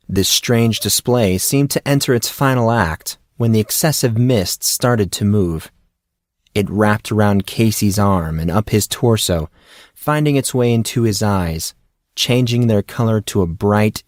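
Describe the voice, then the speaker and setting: Man, audiobook narrator, low pitch, dry close sound, scripted fiction reading. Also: no room sound.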